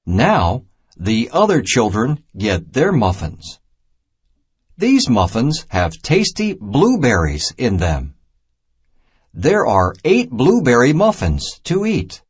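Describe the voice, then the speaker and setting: A man reading a children's math storybook aloud in a deliberate, simple teaching manner. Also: mid-range voice, huge pitch swings, breathy edge. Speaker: narrator of a children's math reader.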